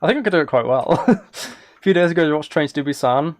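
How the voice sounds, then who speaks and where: A man's voice: fairly high pitch, animated, fast talker, a little hiss or hum. A man chatting casually as a live streamer, thinking aloud while he plays a game. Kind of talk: casual gaming livestream chat.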